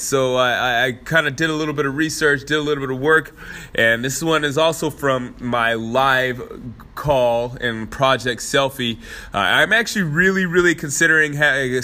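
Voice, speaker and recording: Congested male podcast host, loose and playful, bright tense voice. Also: medium pitch. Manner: loose and playful, chuckling between phrases. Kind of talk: casual podcast intro. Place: fitness studio.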